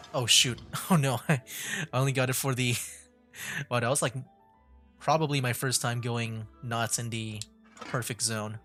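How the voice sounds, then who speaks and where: Male gaming streamer, casual chuckly live commentary, mid-pitched voice, soft music behind. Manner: chuckles often while talking. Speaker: streamer and gamer. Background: faint game music.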